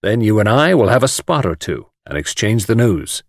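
A man voicing a gruff, hearty male adventurer who teases his friend bluntly in an audiobook story. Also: lowish voice, sing-song, moderate pace, no echo, studio quality. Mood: hearty, amused. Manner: blunt, jocular.

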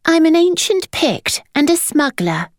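A bright, mischievous eight-year-old boy, as a woman reader puts him on. He chirps his lines high and plainly, without a trace of worry. The sound is close and dry. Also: big pitch swings, measured pace, no room sound, full character voice. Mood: cheerful. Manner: chirpy and matter-of-fact.

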